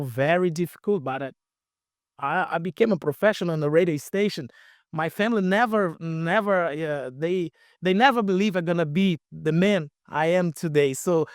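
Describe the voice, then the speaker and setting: A middle-aged man from Brazil with a Brazilian accent, a native Portuguese speaker, telling his story casually as an interview guest in halting English with repeated words. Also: high-pitched, no room sound, clean studio sound. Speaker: podcast guest, radio station owner.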